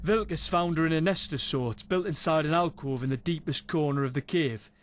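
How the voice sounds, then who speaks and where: An actor playing a boisterous, rough British adventurer in a fantasy game, telling tales in a salty, wry way. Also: male voice, on the high side, fairly quick, close to an everyday voice. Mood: reflective. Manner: salty, foul-mouthed, wry storyteller. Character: boisterous rough male adventurer spinning a monster tale. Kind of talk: in-character storytelling in a fantasy game.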